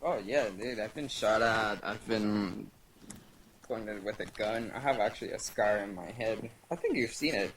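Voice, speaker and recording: A young man from Venezuela whose first language is Spanish, telling stories in a halting casual interview with many fillers. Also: fairly low pitch, fairly bright tone, a little hiss or hum. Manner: halting, lots of fillers and restarts. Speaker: guest. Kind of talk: casual interview over voice chat.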